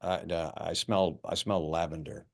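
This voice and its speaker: An actor playing a blunt, casual police detective at a film table read, speaking in a halting, understated way. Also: male voice, sits low, fairly even intonation, creaky. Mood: pensive, probing.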